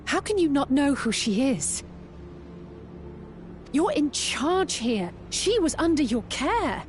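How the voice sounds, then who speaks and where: A woman's voice: high voice, big pitch swings, takes it slowly, a little hiss or hum, heavily acted. A woman playing an earnest, emotional young woman in a fantasy video game. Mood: upset, accusing. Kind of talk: scripted video game acting.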